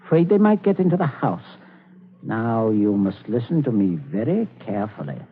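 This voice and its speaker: A man's voice: lowish voice, big pitch swings, husky, exaggerated voice. An actor playing a middle-aged father, outwardly genial but coldly calculating and menacing, sometimes stammering, in a 1940s radio drama style. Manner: stammering when flustered, deliberate. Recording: noisy, tinny 1940s radio recording.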